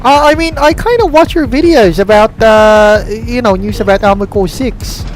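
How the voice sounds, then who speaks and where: A man's voice: sits high, normal speed, slight background noise, rough recording. A man streaming a mech game live, talking hesitantly with many fillers and restarts. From Philippines. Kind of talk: casual live gameplay commentary. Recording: stream with loud game music. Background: game music.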